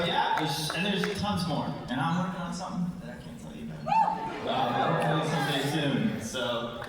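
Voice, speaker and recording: Man presenting in a booming, echoey hall. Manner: casual, hesitant, halting delivery with trailing asides. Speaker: animation creator presenting at a fan convention panel. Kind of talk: informal live panel announcements. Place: large convention hall with audience. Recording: echoey hall, distant mic, loud crowd noise.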